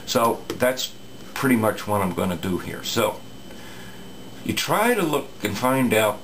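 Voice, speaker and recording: A low, gravelly male voice explains an old radio repair in an unscripted, halting way, stopping often for fillers and restarts. A faint hiss of background noise sits under the recording. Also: big pitch swings, fairly bright tone, low-quality recording. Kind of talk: casual workbench repair talk.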